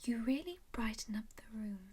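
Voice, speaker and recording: A woman's voice: a little high, expressive, normal speed, slightly rough. Woman whispering as a doting vampire noble. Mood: soothing whisper. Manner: soft whisper throughout; gently possessive and coaxing, calls listener darling and dear. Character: composed, doting female vampire noble who owns a servant. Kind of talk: whispered ASMR roleplay.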